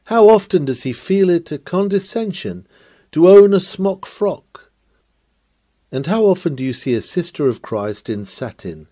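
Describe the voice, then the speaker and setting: A man reading an old-fashioned sermon aloud in an emphatic, declamatory manner with deliberate phrasing. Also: mid-range voice, warm tone, dry recording, clean studio sound. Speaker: sermon reader. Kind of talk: dramatic read-aloud of a 19th-century sermon.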